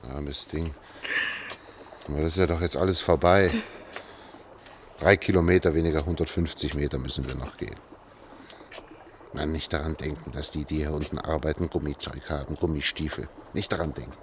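An actor playing an anxious middle-aged engineer in a radio drama, speaking in a hushed, urgent, halting way. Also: male voice, rumbling low, fairly even intonation, a little hiss or hum. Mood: weary, soothing. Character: anxious male engineer leading an escape through sewers. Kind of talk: German radio drama acting.

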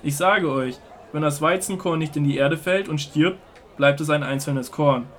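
A male actor plays an earnest prophet and teacher in a Bible radio play. His voice sits somewhat high for a man. He makes solemn, measured declarations. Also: fairly bright tone, a little hiss or hum, natural voice. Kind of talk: audio drama Bible dialogue.

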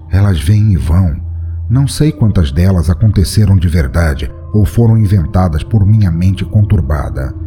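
Deep, dark-voiced male horror narrator. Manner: dramatic, expressive reading. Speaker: podcast host and narrator.

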